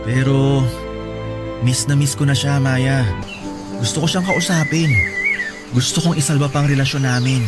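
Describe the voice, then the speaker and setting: A man's voice: mid-range voice, level tone, normal speed, pauses often, lightly acted. An actor playing a heartbroken, confused young man who talks in a pleading, distraught way in a radio drama, with music behind.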